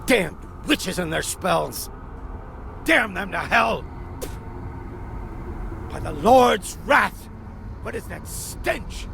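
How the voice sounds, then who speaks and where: A man playing a foul-mouthed, gruff and irate commander in a video game. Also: high-pitched, lively intonation, very slow, pauses often, a bit bright, slight background noise, exaggerated voice. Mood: outraged. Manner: gruff, blustering, cursing.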